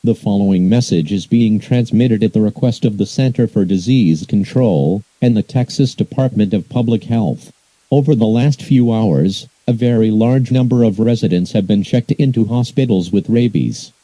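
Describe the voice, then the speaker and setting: Dark, muffled-toned male emergency announcer. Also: lowish voice, moderate pace, no echo. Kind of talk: scripted emergency broadcast announcement.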